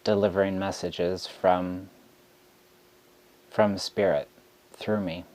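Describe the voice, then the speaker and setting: A man who reads tarot, speaking straight to camera in a frustrated rant, deliberate and halting with frequent ums. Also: sits low, flat delivery, a little mellow. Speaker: tarot reader.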